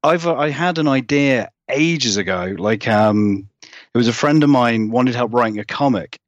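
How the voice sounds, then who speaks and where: A man's voice: mid-range voice, unhurried, no room sound, highs cut off. A man, a guest author chatting casually in a rambling, self-deprecating way. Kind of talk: casual interview chat.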